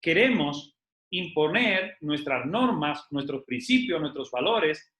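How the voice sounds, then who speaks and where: A man from Spain, giving a lively motivational leadership talk to a group, asking rhetorical questions and using many fillers. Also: a little high, slow pace. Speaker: network marketing team leader. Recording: echoey room.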